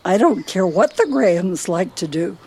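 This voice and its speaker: A woman's voice: fairly low pitch, very animated, moderate pace, mellow, a little hiss or hum. A woman playing a feisty elderly wife in a radio play, teasing and needling her husband theatrically. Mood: playful, defiant.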